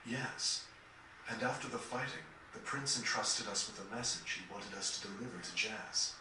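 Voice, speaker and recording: A courteous, composed game gentleman, acted by a man, speaks formally and gently in a fairly low voice. He sounds a little distant and very echoey, with faint noise. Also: close to an everyday voice. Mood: calm, explaining. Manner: measured, formal, polite. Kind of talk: video game voice acting.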